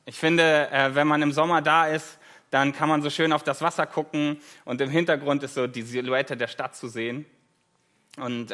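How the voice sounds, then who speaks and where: A man's voice: medium pitch, pushed and bright. A German man in his thirties, a guest preacher giving a conversational sermon with light self-deprecating humor, in a church.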